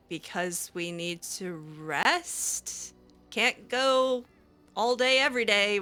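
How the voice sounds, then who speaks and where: A woman's voice: mid-range voice, pushed and bright. A young woman roleplaying her game character aloud, talking hesitantly with many fillers and restarts. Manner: hesitant, filler-heavy, giggly.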